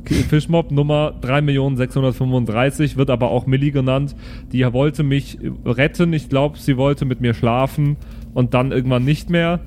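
A man's voice: mid-range voice, steady tone, moderate pace, a little mellow, slight background noise, lightly acted. A man playing a sulky, cocky, lovestruck adventurer in an improvised audio drama, with whiny, slangy delivery. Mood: boastful, flustered.

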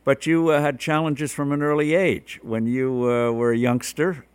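A man hosting an interview show, giving political commentary and asking questions in a measured, conversational way. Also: mid-range voice, level tone, clean tone, no room sound. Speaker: host.

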